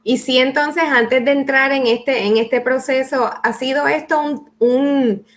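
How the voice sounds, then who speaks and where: A woman hosting an interview in a fairly high voice. She asks long, winding questions with frequent restarts and fillers. Her delivery sounds halting but friendly. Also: fairly even intonation, a little hiss or hum. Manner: hesitant, many restarts and fillers. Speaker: host. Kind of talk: conversational interview.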